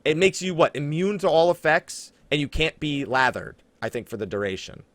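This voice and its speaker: Man, casual gaming chat, animated delivery, emphatic exaggeration, dry close sound. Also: a little high, normal speed, no room sound. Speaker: podcast host. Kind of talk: casual game podcast chat.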